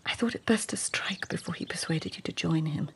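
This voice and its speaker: An actress playing a cool, sharp-minded lady-in-waiting and poet in a radio drama, husky, precise and detached. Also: deep voice, a little fast, whispered, exaggerated voice. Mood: shaken, intent. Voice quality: husky, creaky. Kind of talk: radio drama acting and literary narration.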